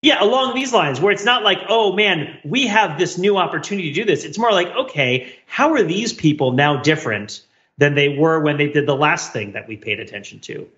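A man with a bright, forceful voice pitched a little high talks casually as a podcast host. He rambles and circles back over his phrases as he reasons aloud. The recording is slightly muffled and lo-fi. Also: lively intonation, fairly quick. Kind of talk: casual panel discussion.

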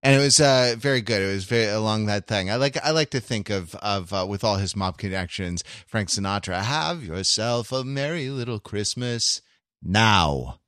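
A man's voice: lowish voice, moderate pace, a bit bright, clear, resonant tone, no room sound, studio quality. A man hosting a podcast in casual, jokey chat, with wry, mock-grandiose build-ups. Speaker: podcast host.

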